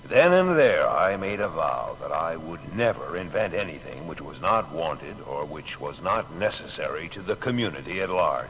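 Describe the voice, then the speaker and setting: An actor playing an earnest, plain-spoken male inventor recalling his work in a measured way for a dramatised biography. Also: extremely low pitch, very animated, a bit bright, exaggerated voice. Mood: rueful, resolute. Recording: long hall reverb, faint noise.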